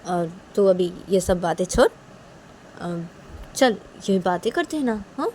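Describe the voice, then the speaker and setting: A woman's voice: medium pitch, lively intonation, unhurried, a little mellow, a little hiss or hum, lightly acted. A woman doing a soothing, reassuring friend. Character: comforting young woman friend.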